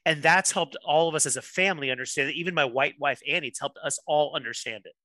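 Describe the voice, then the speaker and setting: A pastor talking openly as a podcast guest, quick to laugh at himself. His voice sits on the higher side and sounds bright and forceful. The sound is close and dry, with no room around it. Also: dry recording. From Miami, USA. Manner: candid, self-deprecating, quick to laugh. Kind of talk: casual interview conversation.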